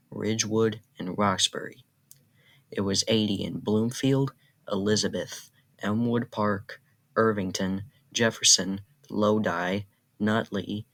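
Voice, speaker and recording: A man's voice: lowish voice, vocal fry. A synthetic-sounding man reading an automated weather radio bulletin in an impersonal, mechanical way. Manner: flat, impersonal, synthetic delivery.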